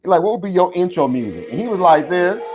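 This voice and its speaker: A man with a strikingly high voice hosts an online alumni show. He chats casually between guests and shouts with excitement when he brings someone on. He speaks over a video call. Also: quick pace, background noise. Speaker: show host. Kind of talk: hype introduction and casual interview chat.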